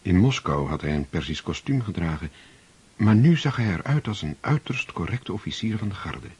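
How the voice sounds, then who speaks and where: A man narrating a radio drama in a measured, scripted storytelling style with a raspy voice. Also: sits low, big pitch swings, a little mellow, heavily acted. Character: measured male storybook narrator of war novel.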